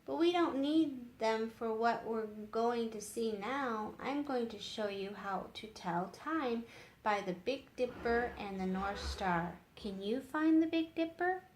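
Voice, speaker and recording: Young scholar role in a storybook, woman reader: polite, patient, teacherly, slow delivery, echoing room. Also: a little high, expressive. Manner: polite, explanatory. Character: patient, brainy young man, gently teaching. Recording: roomy echo with faint noise.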